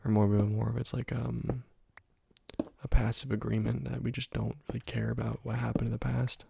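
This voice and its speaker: A young man talks softly and closely into the mic while playing a game, half-whispering in a laid-back, rambling way. His voice is heavily gravelly and breathy-husky, and the room sound is completely dry. He drifts through long stories padded with fillers. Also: medium pitch, flat delivery, moderate pace, no echo. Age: young adult. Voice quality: whispery and soft. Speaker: gaming ASMR channel host. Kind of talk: soft-spoken ASMR gameplay commentary. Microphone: close microphone.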